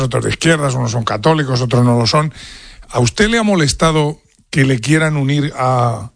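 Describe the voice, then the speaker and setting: Mid-pitched Spanish man, dry close sound. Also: vocal fry, dry recording. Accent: Spanish, from Spain. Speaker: interviewer questioning a candidate. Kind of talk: spontaneous interview questioning.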